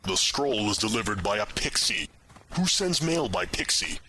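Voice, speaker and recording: Guy as a grumpy suspicious fantasy adventurer, deep deadpan voice, noisy recording. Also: normal speed. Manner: curt, deadpan. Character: sour, suspicious, grumbling male adventurer. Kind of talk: fantasy audio drama acting. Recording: clearly noisy hobby recording.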